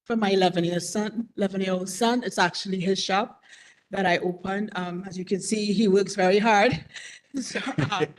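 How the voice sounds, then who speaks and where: A woman's voice: mid-range voice, fairly even intonation, moderate pace. A woman in her forties, a business owner, speaking earnestly and conversationally at a community board meeting. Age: 40s. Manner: earnest, conversational, repeats herself. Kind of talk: public comment at a meeting.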